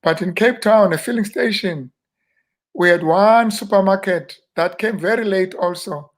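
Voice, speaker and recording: A South African man in his fifties, a minister, reminiscing in an unhurried, rambling interview with many fillers and restarts. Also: sits high. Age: 50s. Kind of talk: relaxed reminiscing interview talk.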